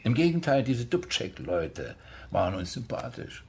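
A man's voice: mid-range voice, big pitch swings, moderate pace, creaky. An actor playing a self-assured film producer casually recalling his army days on a played-back interview tape in a radio drama. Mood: self-assured, reminiscing. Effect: played-back tape recording. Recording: dull taped interview with background noise.

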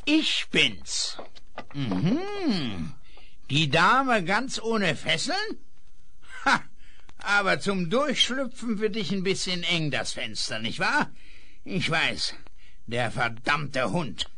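A ruthless Western outlaw in an old radio drama, with a high, husky voice that swings widely. He sneers and threatens, leaning on his words at an unhurried pace. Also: big pitch swings, pushed and bright, full character voice. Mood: sneering menace. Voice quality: raspy, gravelly. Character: ruthless, menacing male Western villain, cursing outlaw. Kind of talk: 1970s German radio play acting. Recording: vintage vinyl radio play recording.

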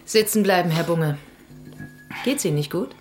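A woman's voice: lowish voice, lively intonation, moderate pace, over music, lightly acted. A woman playing a tough, sardonic police detective in a naturalistic radio drama, talking in a dry, insistent way. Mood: needling, pressing.

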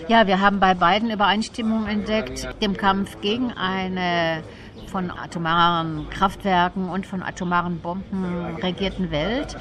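A woman speaking as an interview guest, explaining literature in a thoughtful, deliberate, articulate way. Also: fairly low pitch, little pitch movement, crowd noise.

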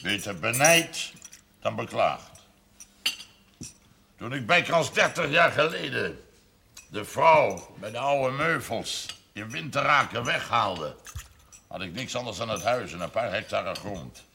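An actor playing a proud, blunt, domineering sixty-year-old farmer in a seventies television drama. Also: male voice, medium pitch, lively intonation, creaky, sharp tone, full character voice. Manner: blunt, commanding, deliberate storytelling. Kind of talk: 1970s Dutch television drama acting. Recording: noisy old television soundtrack.

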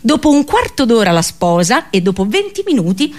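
A woman's voice: on the high side, sing-song, normal speed, a little mellow. A woman performing a comic theatrical monologue for radio, colloquial and sarcastic, full of exaggerated rhetorical questions.

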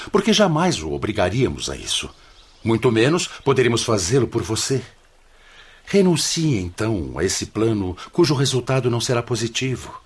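Radio play: patient paternal Brazilian counsellor, low voice, wide expressive intonation, formal and solemn. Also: male voice, breathy edge. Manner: solemn, measured, admonishing. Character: patient, paternal spiritual counsellor, grave and benevolent hospital director. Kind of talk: formal radio drama acting.

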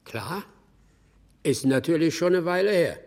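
A man playing a terse, unflappable old backwoods logger in an audio drama, calm and a little sly. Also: on the high side, a little slow, no echo, studio quality. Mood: laconic, calm. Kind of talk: naturalistic German audio drama acting.

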